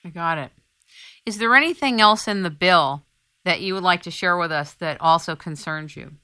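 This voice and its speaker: A woman's voice: on the low side, normal speed, pushed and bright, clear, resonant tone. A woman hosting an interview, asking probing questions in an emphatic, rhetorical way. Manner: emphatic, rhetorical, builds repeated lists. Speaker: interview show host.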